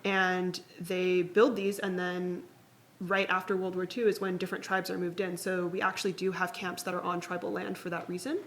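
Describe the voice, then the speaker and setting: A woman's voice: on the low side, little pitch movement, moderate pace, fairly bright tone. A woman guest in a live podcast interview, explaining in long, fluent, connected points. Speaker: guest speaker.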